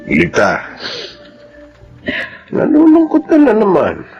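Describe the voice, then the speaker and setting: A man playing a gentle, sorrowful, forgiving middle-aged father in a radio drama, with a creaky voice. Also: high-pitched, huge pitch swings, slow, highs cut off, exaggerated voice. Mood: sorrowful, steadfast. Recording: music under the voice.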